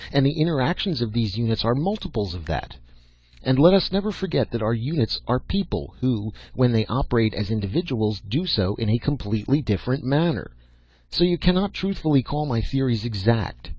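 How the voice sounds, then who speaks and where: Guy reading as a blunt old professor, commanding and emphatic, husky, kinda dull lo-fi recording. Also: medium pitch, darkish tone, no echo, pitched above the performer's own voice. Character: old, crusty, commanding male professor and mentor. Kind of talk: audiobook character acting. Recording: dull lo-fi audio.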